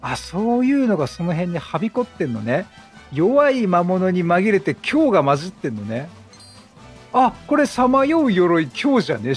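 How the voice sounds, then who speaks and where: A talkative man doing chatty let's-play commentary, exclaiming and talking to the game's characters. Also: high-pitched. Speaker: gaming host. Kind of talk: chatty let's-play commentary, reading game dialogue aloud.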